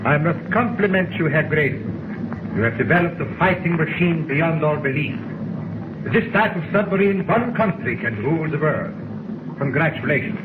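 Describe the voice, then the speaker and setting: A man's voice: on the high side, animated, moderate pace, lightly acted. A man acts out a smug German spy commander in a 1940s radio serial. He is coldly courteous with menace underneath. The recording is tinny and buried in heavy noise. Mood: smug, mock-courteous. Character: smug cold villainous foreign spy leader commanding a captured submarine. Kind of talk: 1940s radio drama acting.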